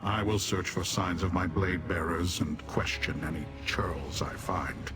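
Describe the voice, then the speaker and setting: An actor playing a cold, haughty demon warrior in a video game, speaking formally with measured, deadpan condescension. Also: male voice, sits low, music in the background. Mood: cold, businesslike. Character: haughty male Dremora demon warrior commander, coldly disdainful of mortals. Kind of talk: video game character dialogue.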